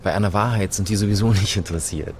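Radio satire: male actor as unrepentant criminal, deep, colloquial and rambling, noisy location tape. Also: fast talker, lightly acted. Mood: cynical, defiant. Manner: colloquial, rambling, shrugging, full of filler words. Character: cynical male repeat violent criminal, plain-spoken, unrepentant. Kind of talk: satirical radio play, mock interview clip.

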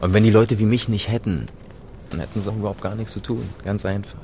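Radio satire: male actor as unrepentant criminal, deep, colloquial and rambling, noisy location tape. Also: fairly even intonation, quick pace, darkish tone, natural voice. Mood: cynical, defiant. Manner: colloquial, rambling, shrugging, full of filler words. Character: cynical male repeat violent criminal, plain-spoken, unrepentant. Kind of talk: satirical radio play, mock interview clip.

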